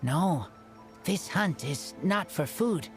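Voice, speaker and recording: A man voicing an earnest teenage boy hunter raised by wolves in a video game, speaking in halting, terse broken phrases. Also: high-pitched, animated. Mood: grave. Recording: game music behind.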